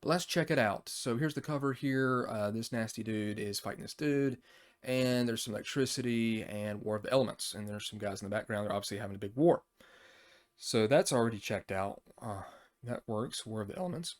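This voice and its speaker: A man's voice: on the low side, a little fast. A man hosting a casual, unscripted overview, talking in a rambling, conversational way as he thinks aloud. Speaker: tabletop RPG review channel host.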